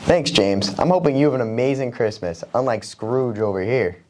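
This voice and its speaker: A man's voice: medium pitch, expressive, moderate pace, a little hiss or hum. A young man co-hosting a student show with back-and-forth banter. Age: teens to young adult. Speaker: student co-host of a school show.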